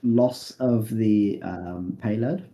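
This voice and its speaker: A young man hosting a mock launch webcast, joking and often pausing with fillers. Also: fairly low pitch, dark tone. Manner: joking, hesitant with many fillers. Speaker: webcast host.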